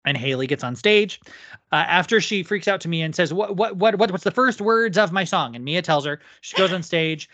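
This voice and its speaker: A woman's voice: lowish voice, animated, brisk, a bit bright, no room sound. A woman co-hosting a podcast, chatting casually through a TV episode recap in an agreeable way. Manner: agreeable, frequent short affirmations. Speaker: podcast co-host.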